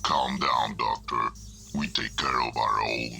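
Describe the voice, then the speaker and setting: Man acting extremely deep, gravelly shadowy conspirator. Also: steady tone, pushed and bright, soft background music, exaggerated voice. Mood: calm, persuasive. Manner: measured, formal. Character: shadowy, calm, persuasive male conspirator. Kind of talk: amateur audio drama acting.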